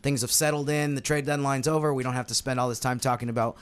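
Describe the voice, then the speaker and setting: A man's voice: medium pitch, steady tone, fast, clean tone. American man talking basketball casually. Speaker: sports podcast co-host and analyst.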